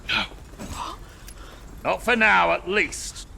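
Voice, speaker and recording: Creaky, high-voiced smug villain lord. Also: male voice, sing-song, drawn-out words, sharp tone, a little hiss or hum, full character voice. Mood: gloating, menacing. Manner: mock-polite, pompous, drawling. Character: theatrical, smug villainous male lord of an abbey. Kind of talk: video game cutscene acting.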